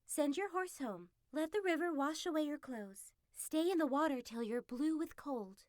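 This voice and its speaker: A woman voicing a cunning, scheming female fox who talks in a brisk, persuasive, bossy way. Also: sits high, no echo, studio quality, voice pushed up, natural voice.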